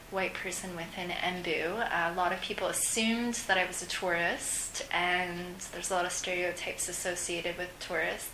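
A woman's voice: on the low side, bright, forceful tone. A woman answering interview questions in a conversational way as a guest. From Canada. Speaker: interview guest, returned overseas volunteer. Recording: echoey room, clear background noise.

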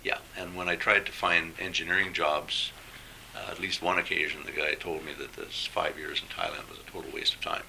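An older man talking about his past work in a relaxed, reflective conversational interview. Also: low-pitched, a little fast, vocal fry, a little hiss or hum, low-quality recording.

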